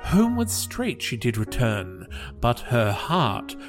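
A man reading poetry as a scripted narration, measured and expressive. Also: mid-range voice. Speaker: podcast host and poetry reader. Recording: music behind the voice. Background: music.

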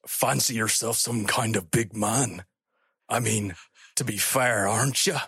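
A man acts out a pissed-off, foul-mouthed outback creature from a spoken story. The voice is husky and snarling, with a shaky put-on accent wandering from Australian to Scottish. It sounds close and dry. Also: lowish voice, unhurried, a bit bright, no echo, heavily acted. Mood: snarling menace. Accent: wobbly put-on Australian, drifting to Scottish. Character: pissed-off menacing male bat-winged rat-dingo outback monster. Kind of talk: amateur voice acting of a story.

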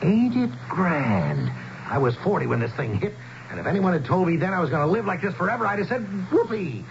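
A man's voice: high voice, sing-song, brisk. An actor playing a bitter, weary small-town man of forty who gripes in folksy, ungrammatical, sarcastic talk in a radio drama. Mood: sarcastic, fed up. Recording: muffled, noisy 1970s radio broadcast.

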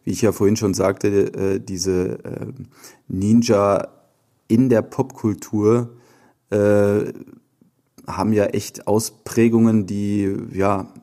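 A man hosting a podcast in casual chat, putting questions with a playful, halting delivery. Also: lowish voice, flat delivery, mellow. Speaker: podcast host.

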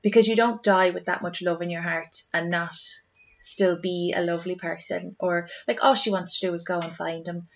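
A woman's voice: fairly low pitch, steady tone, moderate pace. An Irish woman co-hosting a podcast, chatting casually in an emotive, rambling way. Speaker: podcast co-host. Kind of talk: casual chat. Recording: slightly dull, lo-fi sound.

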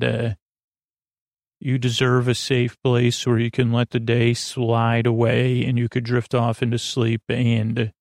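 Male sleep-podcast host, gravelly voice, dry close sound, meandering and reassuring. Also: medium pitch, a little mellow, no echo. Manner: meandering, goofy, filler-heavy. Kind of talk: rambling bedtime monologue.

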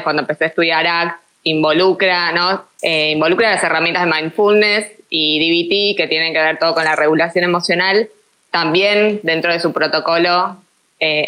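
A woman from Argentina with an Argentine accent, a psychologist guest explaining her work in a relaxed, thoughtful way with frequent restarts. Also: low-pitched, low-quality recording. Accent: Argentine Spanish. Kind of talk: casual interview chat.

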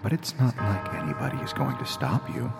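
An actor playing a cruel voice that slowly taunts a dreamer toward death in an audio drama. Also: male voice, fairly low pitch, sing-song, gravelly, music in the background. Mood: taunting. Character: menacing male voice urging a dreamer to die.

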